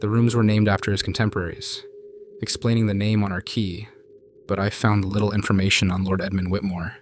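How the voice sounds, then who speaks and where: A man calmly narrating true scary stories in a measured, hushed scripted style. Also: fairly low pitch, slight background noise. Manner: calm, measured, hushed storytelling. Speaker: narrator reading viewer stories. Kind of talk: scripted horror story narration.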